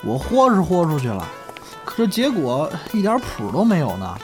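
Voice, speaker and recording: An eager young man , played by a male voice actor in an audio drama. His pitch swings freely as he chats, with faint music behind him. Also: huge pitch swings, normal speed. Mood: earnest, eager. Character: eager, chatty young male crosstalk comedy hopeful. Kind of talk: Chinese audio drama dialogue.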